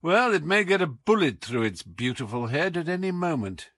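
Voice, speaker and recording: A man's voice: fairly high pitch, sing-song, normal speed, fairly bright tone, no echo, clean studio sound, pitched above the performer's own voice. A man reading an audiobook as a whimsical, imperious detective with a British accent, theatrical, commanding and wry. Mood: animated, wry. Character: brilliant, whimsical, imperious male English detective.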